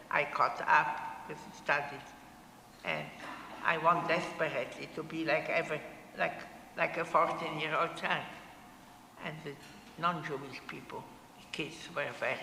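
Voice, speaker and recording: An elderly woman in her eighties, speaking, slowly gives her testimony in short, halting phrases. Her voice is gravelly and sharp-edged. It is heard in a long, echoing hall with faint noise in the background. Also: low voice. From Poland. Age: 80s. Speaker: Holocaust survivor speaker. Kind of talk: personal testimony to an audience. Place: synagogue hall.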